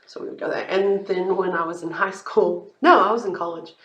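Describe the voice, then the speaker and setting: A woman's voice: lowish voice, fairly even intonation, a little fast, fairly bright tone, highs cut off. A young woman with a Southern American accent, from the rural South, co-hosting a podcast and telling rambling stories with frequent laughs. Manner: laughs often, rambling storyteller. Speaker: podcast co-host. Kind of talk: casual chat. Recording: echoey room.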